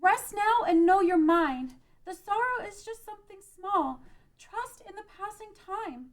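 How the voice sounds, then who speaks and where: A woman's voice: very high-pitched, expressive, a little slow. A woman playing a brave, earnest young peasant girl pleading for peace in an amateur fantasy table read.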